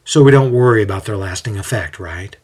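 A man narrating a story voices a wise, light-hearted older rabbi who speaks gently and without hurry. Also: on the low side, fairly quick, slightly rough. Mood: patient, teaching.

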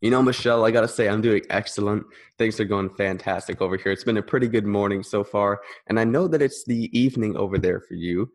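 A man hosting a business interview show, speaking in an upbeat, energetic way. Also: on the low side, quick pace. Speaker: host.

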